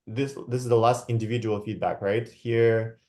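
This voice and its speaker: A man's voice: lowish voice, flat delivery, warm tone. A young man from Kazakhstan, giving a reflective academic guest talk and often checking in with his listeners. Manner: checks in with frequent tag questions; measured, reflective, with many fillers. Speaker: guest lecturer. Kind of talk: academic talk walking through slides.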